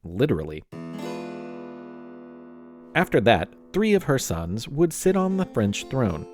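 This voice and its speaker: A man's voice: medium pitch, wildly swooping pitch, slow, soft background music. A man narrating scripted history as a podcast host, with playful, wry asides.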